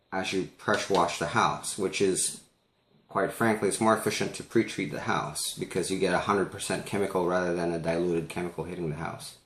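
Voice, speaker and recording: A man casually telling a personal story in an animated way, as if acting out the conversation for listeners. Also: deep voice, echoey room. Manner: animated, acts out dialogue.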